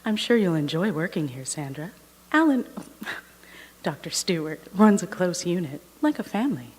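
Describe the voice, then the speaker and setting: A woman playing a guarded, loyal dental receptionist in an audio drama, husky, polite and officious. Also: lowish voice, big pitch swings, normal speed. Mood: friendly. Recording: reverberant hall.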